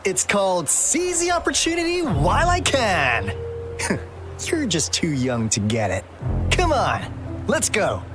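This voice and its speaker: A man's voice: high-pitched, wildly swooping pitch, measured pace, full character voice. An actor voicing a laid-back, wry male explorer hunting treasure in a game cutscene. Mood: easygoing. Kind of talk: video game cutscene acting. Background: music.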